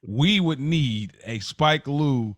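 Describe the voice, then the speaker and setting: A man's voice: medium pitch, animated, slow, dry recording. A man co-hosting a podcast in casual chat, easygoing and encouraging, laughing often. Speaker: podcast co-host.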